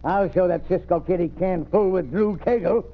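A man's voice: sits high, moderate pace. A man playing a swaggering, bullying outlaw marshal in a radio western, with an American accent and threatening cowboy slang. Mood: menacing. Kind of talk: old-time western radio acting. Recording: noisy, narrow-band vintage radio recording.

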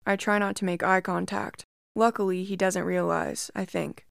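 Female narrator, mid-pitch, smooth and clear, calm creepy-story reading, dry close mic. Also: normal speed, no echo.

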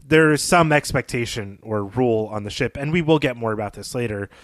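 Measured man discussing literature, dry close sound. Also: medium pitch, dry recording. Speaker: podcast co-host.

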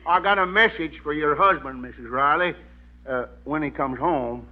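A man's voice: a little high, moderate pace, highs cut off, close to an everyday voice. An actor playing an absent-minded, blustery old cowboy father with a Western drawl in a 1940s radio comedy. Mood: folksy. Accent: American Western drawl. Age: older. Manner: folksy frontier talk, threatening bluster.

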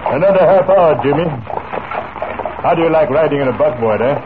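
Proud old-West rancher, played by a male actor: emphatic, reassuring, vintage radio acting, thin band-limited sound with clear hiss. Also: on the high side, expressive, fairly quick, close to an everyday voice. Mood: hearty, reassuring. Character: Western rancher, proud, hearty, fond of old ways. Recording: scratchy, tinny 1940s radio recording.

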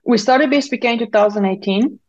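A woman's voice: a little high, fast, darkish tone, dull audio. A woman in her fifties from Bulgaria, a native Bulgarian speaker, answering conversationally as an interview guest. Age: 50s. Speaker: interview guest, tech founder and CEO.

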